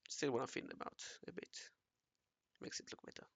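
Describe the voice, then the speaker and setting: A man with a raspy voice talking casually to himself while he draws, mumbling and correcting himself as he goes. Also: brisk, fairly bright tone, dry recording. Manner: mumbling and self-correcting, often murmuring half to himself. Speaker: hobby artist narrating his drawing session. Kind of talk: casual think-aloud commentary while drawing.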